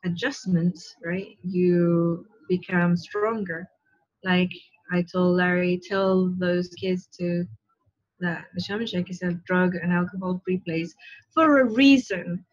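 A middle-aged woman speaks in a medium-pitched voice, as if teaching a small spiritual class informally. She is conversational yet emphatic, punctuating points with little checking questions. The sound is a bit dull and lo-fi, like a headset on a webcam in a small room. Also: slightly rough. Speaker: spiritual teacher giving a talk. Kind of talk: informal spiritual talk to camera. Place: small living room, indoors.

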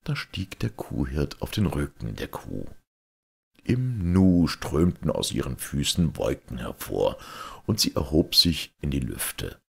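A man reading a fairy tale as an expressive scripted narration, like an audiobook storyteller. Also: a bass voice, slow pace, creaky, husky.

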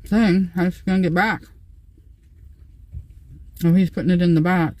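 A woman's voice: lowish voice, steady tone, normal speed, darkish tone, no room sound. An older woman chatting casually as a vlogger inside a parked van. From Canada. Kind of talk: casual chat.

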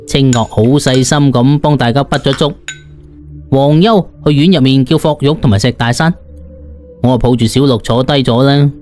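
A man's voice: medium pitch, moderate pace, a little mellow, faint music behind. A man with a Cantonese accent narrating a first-person audiobook in a colloquial, dramatised storytelling style.

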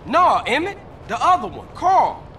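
An actor playing a wary young gang member in a video game, talking in street slang. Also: male voice, very high-pitched, huge pitch swings, measured pace, bright, forceful tone, a little hiss or hum, heavily acted. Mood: friendly. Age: young adult. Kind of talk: video game cutscene acting.

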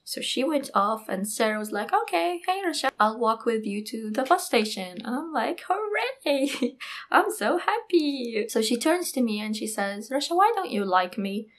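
A woman's voice: on the high side, normal speed. A young woman from Saudi Arabia whose first language is Arabic, telling a story to camera in a small room and acting out the dialogue. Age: young adult. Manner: animated storytelling, acts out quoted dialogue. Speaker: architecture vlogger. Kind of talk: storytime monologue.

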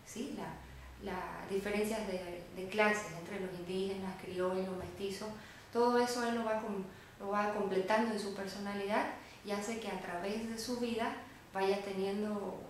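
A woman in her thirties with a Bolivian Spanish accent, giving reflective, somewhat halting interview answers as a writer. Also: medium pitch. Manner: reflective, halting, often seeking confirmation with tag words. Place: small indoor room with bare wall, seated. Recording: very echoey room, faint noise.

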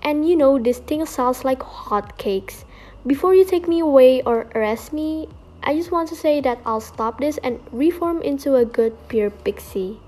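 A woman's voice: high-pitched, animated, moderate pace, darkish tone, rough recording. A woman voicing a small, greedy pixie thief, sassy and defensive, in an amateur fan film.

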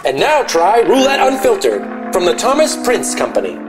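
A woman's voice: medium pitch, wildly swooping pitch, moderate pace. A woman playing a cheery 1950s cigarette advertisement announcer in a parody vintage radio commercial. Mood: upbeat. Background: music.

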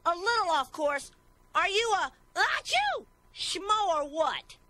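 A man's voice: very high-pitched, sing-song, slow pace, bright, forceful tone, no room sound, heavily acted. A man playing a cocky, wisecracking young hedgehog hero in a cartoon, brash and sarcastic. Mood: irritated. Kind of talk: animated cartoon acting. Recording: music behind, old cartoon soundtrack.

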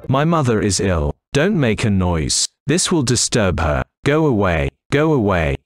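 A man voices an emphatic male character from a cartoon folk tale. The voice is low and swings widely, with faint music behind it. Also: very animated, a little slow, no echo. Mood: plain, earnest. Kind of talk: scripted cartoon dialogue.

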